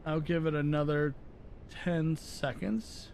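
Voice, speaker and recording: A man's voice: on the high side, fairly even intonation, slow pace, vocal fry, darkish tone, a little hiss or hum. A man with a voice worn from overuse, rambling casually through live gameplay commentary and often correcting himself. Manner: rambling, self-correcting chatter. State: losing his voice. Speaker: live streamer.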